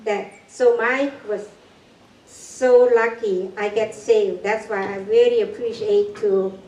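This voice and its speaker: An older woman from Cambodia with a Cambodian accent, giving a personal testimony talk in halting, broken English with nervous laughs. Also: medium pitch, steady tone, darkish tone. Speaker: guest speaker. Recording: echoey room, far from mic.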